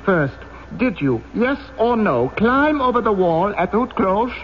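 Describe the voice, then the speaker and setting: A man's voice: high voice, big pitch swings. An actor playing a sneering public prosecutor in a radio drama, mocking and theatrical, drawing out his words. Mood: stern, accusing. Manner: mocking, emphatic, drawn-out. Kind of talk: old-time radio drama acting. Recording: dull old radio recording, faint hiss.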